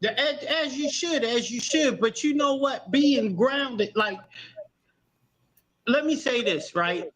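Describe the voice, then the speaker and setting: A man's voice: very high voice, takes it slowly, a bit bright, poor audio. A man in his fifties chatting casually, informal and emphatic, repeating himself for emphasis. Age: 50s. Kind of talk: casual livestream chat.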